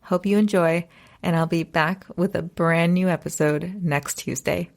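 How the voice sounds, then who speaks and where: A woman's voice: fairly low pitch, fairly even intonation, a little slow, darkish tone. A young adult woman hosting a podcast, reading a scripted intro and then interviewing a guest in an upbeat, enthusiastic way. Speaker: podcast host.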